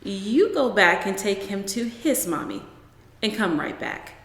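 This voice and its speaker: A woman's voice: mid-range voice, sing-song, measured pace. A woman reading a children's story as a squeamish, dramatic young mother who speaks in emphatic exclamations. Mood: wary. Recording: roomy echo with long reverb.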